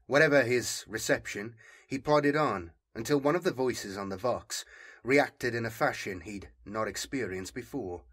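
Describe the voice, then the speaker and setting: A man's voice: lowish voice, fairly bright tone, slightly rough. A man narrating scripted fiction as an audiobook reader, telling the story dramatically.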